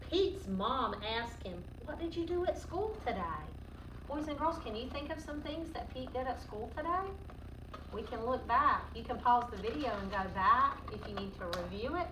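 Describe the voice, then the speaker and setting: Woman teacher reading a kids story, kinda high voice, echoey and a bit far from the mic w noise. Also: animated, moderate pace. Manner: instructive, asks the children questions, sing-song on refrains. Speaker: teacher reading a picture book aloud to children. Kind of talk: children's read-aloud lesson. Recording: roomy, distant mic, noticeable background noise.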